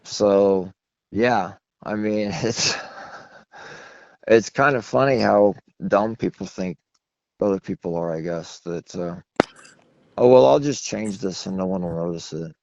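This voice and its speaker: Male interview guest, low voice, long-winded storyteller with a self-deprecating streak, dull dry phone audio. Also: unhurried, creaky, a little mellow, no echo. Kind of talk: rambling phone interview conversation. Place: phone call. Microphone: telephone. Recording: muffled low-fidelity phone line.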